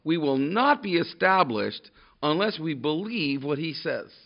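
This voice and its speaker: A man preaching a sermon to a congregation, loud and emphatic, often restarting his sentences. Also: a little high, very animated, measured pace, vocal fry. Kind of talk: sermon with scripture reading. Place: church room with a congregation. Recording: dull lo-fi sermon recording.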